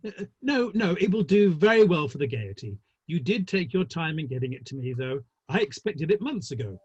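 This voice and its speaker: An actor playing a gossipy, urbane Victorian theatre gentleman with a dry, arch manner and a husky voice, in an online play reading. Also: a little high, big pitch swings, moderate pace. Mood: amiable, teasing. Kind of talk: dramatic play reading over the internet. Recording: muffled, roomy online call audio.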